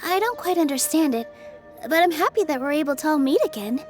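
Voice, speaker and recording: An actress playing a cheerful, gentle young woman in a video game. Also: sits high, expressive, fairly quick. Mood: pleased. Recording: music behind the voice.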